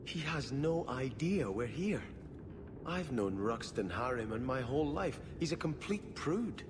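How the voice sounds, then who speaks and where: A man's voice: medium pitch, big pitch swings, a little slow, background noise. A man playing an earnest, courteous exiled prince in a fantasy video game, speaking formally. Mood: courteous pleading.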